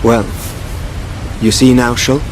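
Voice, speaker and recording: A man voicing a calm, enigmatic young seer in a video game, speaking formally and cryptically. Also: medium pitch, slow, noisy background, natural voice. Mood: cryptic, composed. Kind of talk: dramatic video game voice acting.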